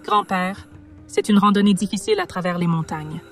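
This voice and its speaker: A young woman playing a caring, hesitant granddaughter in an animated drama. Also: mid-range voice, moderate pace, faint music behind, close to an everyday voice. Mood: concerned, gentle.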